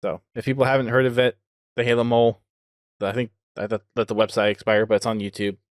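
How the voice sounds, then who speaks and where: A man's voice: medium pitch, flat delivery, fast, no room sound. Casual male podcast host, dry close sound. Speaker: podcast host and video producer.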